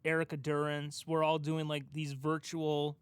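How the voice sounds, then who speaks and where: Higher-voiced man chatting casually, dry sound. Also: monotone, moderate pace, smooth, dry recording. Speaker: podcast co-host asking questions. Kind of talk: casual pop-culture chat.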